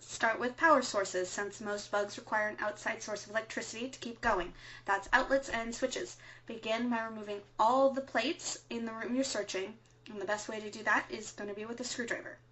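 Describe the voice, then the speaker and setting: A woman acts out a resistance radio co-host in an audio drama. The voice is fairly high, with a measured, matter-of-fact delivery. The recording is slightly dull and lo-fi. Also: fairly even intonation, a bit bright, lightly acted. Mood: instructive. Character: matter-of-fact female resistance co-host, mild but testy. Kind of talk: amateur audio drama, scripted instructional broadcast.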